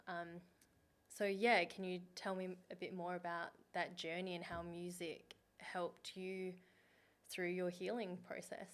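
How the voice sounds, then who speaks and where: Female podcast host; low-pitched, thoughtful, questions that wander before landing. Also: fairly bright tone. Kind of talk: interview questions. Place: in Bali.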